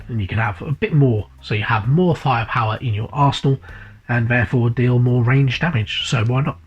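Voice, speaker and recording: A man's voice: medium pitch, husky, muffled recording. A man with a cold and a sniffly voice, casually reviewing a hobby magazine in a rambling way, with many fillers and repeated words. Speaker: hobby channel host. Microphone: voice-over above tabletop camera.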